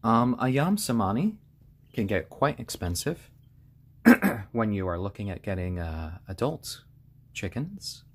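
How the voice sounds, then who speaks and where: A man with a slightly hoarse voice, chatting casually and gently on a live stream from a room at home, in halting explanations and pet talk. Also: on the low side, very animated. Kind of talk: casual chat on a live stream, with cooing to pets. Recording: clear background noise, bird sounds.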